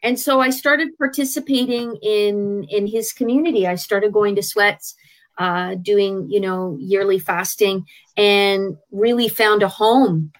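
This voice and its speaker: A woman's voice: mid-range voice, fairly even intonation, measured pace. A middle-aged woman with a Canadian accent, a guest telling her life story frankly in a conversational way, with hesitant restarts. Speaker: interview guest, traditional healer. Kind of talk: candid personal storytelling.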